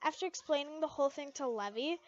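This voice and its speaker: A woman giving an expressive amateur narration of fan fiction, stumbling over words and rereading as she goes. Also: high-pitched, fast, lo-fi sound.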